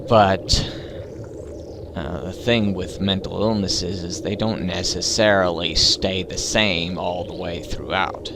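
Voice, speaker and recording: Young man, deep voice, crackly and gravelly, hesitant reflective monologue, noisy recording. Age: 20s. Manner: slow, thoughtful, hesitant with fillers. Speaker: gaming channel host. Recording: loud background noise.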